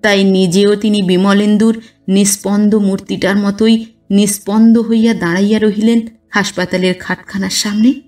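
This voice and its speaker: A woman reading a classic literary story as an audio narrator, in a measured, expressive storytelling manner. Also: medium pitch, far from the mic. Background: background music.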